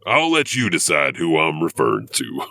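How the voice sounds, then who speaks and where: An ominous horror anthology narrator, played by a man, deep and very expressive. He tells urban legends theatrically, with wry, morbid asides and a forceful edge. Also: normal speed, creaky, exaggerated voice. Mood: wryly morbid. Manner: theatrical, sardonic, with knowing asides.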